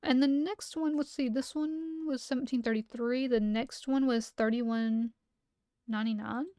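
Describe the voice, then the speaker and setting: A woman livestreamer reading document entries aloud and chatting between them, her voice a little hoarse from talking so much, sometimes skipping text with "blah, blah". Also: on the high side, steady tone, moderate pace, dark tone, no echo, clean studio sound. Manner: skips text with "blah, blah" asides. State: losing her voice. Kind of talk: reading entries aloud, then casual chat.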